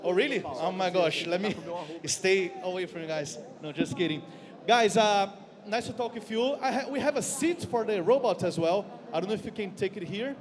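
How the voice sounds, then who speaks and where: High-voiced Brazilian man hosting in a reverberant hall. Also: normal speed, a crowd in the background. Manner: playful, jokey. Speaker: panel host. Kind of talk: casual stage moderating. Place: stage at a conference.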